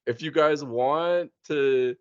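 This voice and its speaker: A man's voice: a little high, slow pace, a little mellow, clean tone, no echo, muffled recording. A man chatting casually as a podcast host, in an informal, slangy way. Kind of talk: casual chat.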